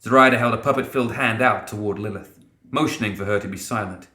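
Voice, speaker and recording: A male audiobook narrator with a fairly low, forceful voice. He reads scripted fiction dramatically, in a room with noticeable echo around the voice. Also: fast talker.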